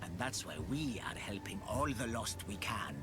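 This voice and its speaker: A man acts out an immortal ferryman of the dead for a video game. He is sardonic and world-weary, his pitch swinging theatrically from dry mutter to lively complaint. Music plays behind him. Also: normal speed, close to an everyday voice. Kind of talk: video game character acting. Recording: game dialogue under clear music.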